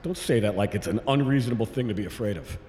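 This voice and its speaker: An actor playing the anxious, exasperated boss of a science team in an audio drama, dry and sarcastic. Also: male voice, lowish voice, quick pace, a little mellow, lightly acted. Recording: echoey reverberant lab, faint noise.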